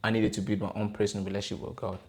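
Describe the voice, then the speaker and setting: A man's voice: fairly low pitch, level tone, rapid-fire. A man in his thirties from the Democratic Republic of Congo, answering interview questions in a hesitant way, with many fillers and restarts. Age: 30s. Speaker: podcast guest, gospel rapper. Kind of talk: casual interview conversation.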